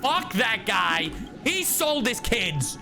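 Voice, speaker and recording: Blunt, excitable young man streamer. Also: very high-pitched, takes it slowly, creaky. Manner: blunt, excitable, swears freely. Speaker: streamer reacting to a game. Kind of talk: casual live reaction commentary. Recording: game music playing behind.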